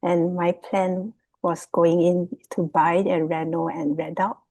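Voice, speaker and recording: A woman's voice: lowish voice, little pitch movement, takes it slowly, vocal fry, dark tone, dry recording, poor audio. A woman from Singapore with a Singaporean accent, giving a casual, unscripted presentation in a hesitant, loosely phrased way as a guest. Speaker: guest, property investment student. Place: remote video call.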